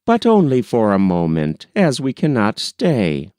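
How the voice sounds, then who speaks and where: A man voicing a frank, cheerful young gentleman in a novel, with an animated, bantering manner. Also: medium pitch, measured pace, no room sound, clean studio sound. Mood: friendly, polite.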